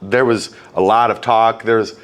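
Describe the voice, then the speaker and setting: A man from Wisconsin hosting a casual interview, chatty and halting, often repeating words as he starts over. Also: fairly low pitch, steady tone, a bit bright, clean tone. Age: adult, calls himself old metalhead. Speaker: podcast host.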